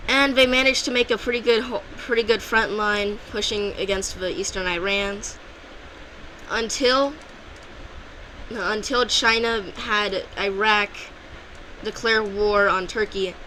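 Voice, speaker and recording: A woman talks casually over an alternate-history map, keeping her voice bright and clear at a middling pitch. She rambles, backtracks and corrects herself often, like unscripted commentary. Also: fairly even intonation, measured pace, slight background noise, low-quality recording. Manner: loose and rambling, with frequent restarts and self-corrections.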